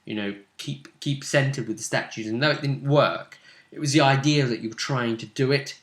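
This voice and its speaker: A man's voice: medium pitch, moderate pace. A man talking casually as game master of a tabletop role-playing game, chatty and fond of tag questions. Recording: echoey room.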